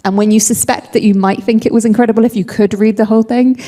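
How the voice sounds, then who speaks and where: A woman editor of translated books speaking thoughtfully on a live panel, with frequent pauses and fillers. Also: a little high, steady tone, mellow.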